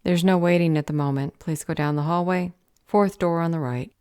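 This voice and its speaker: A woman's voice: on the low side, fairly even intonation, a little fast, mellow, smooth, no echo, clean studio sound, close to an everyday voice. A woman playing an unflappable clerk at a magical government office in an audio roleplay, with an officious, matter-of-fact manner. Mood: businesslike.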